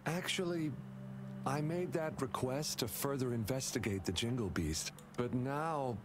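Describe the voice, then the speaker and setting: A man's voice: medium pitch, unhurried, noisy background, close to an everyday voice. A man voicing an apologetic, well-meaning young man in a video game, speaking hesitantly. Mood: sheepish, apologetic. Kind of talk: English game voice acting.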